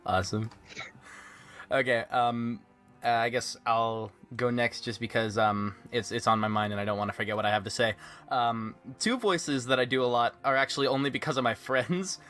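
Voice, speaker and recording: A man's voice: medium pitch, steady tone, moderate pace, a bit bright, faint music behind. A man hosting a casual podcast chat in a jokey manner. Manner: jokey, laughs through his talk. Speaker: podcast host.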